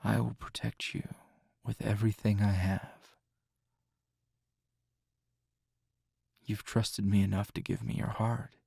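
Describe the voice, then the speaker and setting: A man playing a tender, teasing hero boyfriend in an intimate ASMR roleplay, soft-spoken with a husky, breathy voice and unhurried pauses. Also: lowish voice, little pitch movement, no echo, studio quality, full character voice. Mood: tender, reassuring. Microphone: close, dry microphone.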